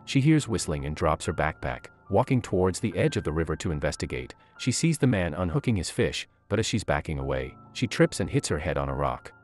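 Deep-voiced man narrating a movie recap. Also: big pitch swings, fairly quick, hardly any pauses, faint music behind. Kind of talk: scripted narration.

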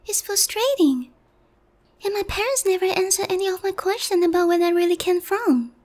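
A woman's voice: very high-pitched, expressive, normal speed, a little mellow. A woman playing a naive, sheltered young harpy noblewoman in a roleplay ASMR, polite, hesitant and earnest in a girlish way. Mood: frustrated, wistful.

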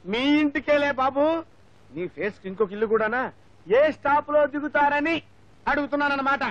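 Shrill, exasperated bus conductor. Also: male voice, brisk, dry recording. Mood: exasperated, whining. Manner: whining, loud, pleading. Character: exasperated, hard-drinking male bus conductor, whiny and quick-tempered. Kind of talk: broad film comedy acting. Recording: dull old film soundtrack, music.

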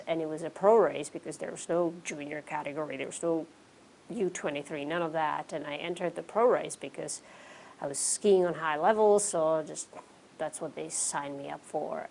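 A woman in her forties speaks English with a Czech accent, her voice very low with a gravelly fry. She answers interview questions in a relaxed way, full of "you know"s, recorded in a small indoor studio with a faint hum behind her. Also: moderate pace, poor audio. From Czech Republic. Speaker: interview guest, pro cyclist.

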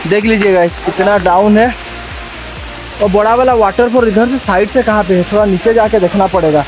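A man's voice: sits high, brisk, music in the background. A young man from Odisha gives casual travel-vlog commentary outdoors into a handheld phone, mixing in English phrases and repeating himself for emphasis. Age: young adult. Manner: mixes English phrases into his talk, repeats warnings. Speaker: travel vlogger. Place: outdoors, steep forest trail. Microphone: handheld phone at arm's length.

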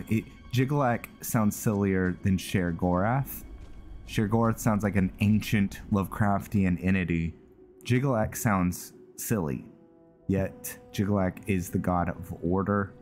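Deep voiced guy doing chill game commentary, slow, thinking out loud, faint music. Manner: musing, thinking aloud. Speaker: gaming video host. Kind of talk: casual game roleplay commentary.

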